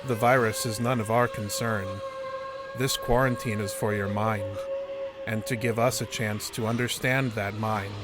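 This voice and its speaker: A man playing a cold, implacable alien interrogator in an audio drama, speaking in a measured, clinical, detached way. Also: fairly low pitch, fairly even intonation, loud music behind the voice, natural voice. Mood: calm, implacable.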